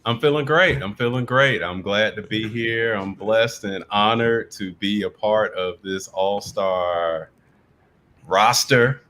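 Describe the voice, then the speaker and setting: Deep, slow, bright-toned man. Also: a little hiss or hum. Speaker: physical therapist, panelist. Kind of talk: casual panel chat.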